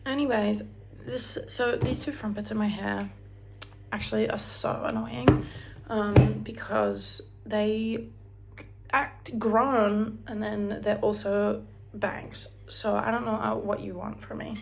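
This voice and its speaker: A woman's voice: mid-range voice, fairly even intonation, slow pace, creaky, a little hiss or hum. A young Australian woman with an Australian accent, chatting casually and rambling while she does her hair and makeup at home. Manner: chatty, rambling, sings snatches of songs, drops into whispers. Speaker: beauty vlogger. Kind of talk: casual get-ready-with-me chat.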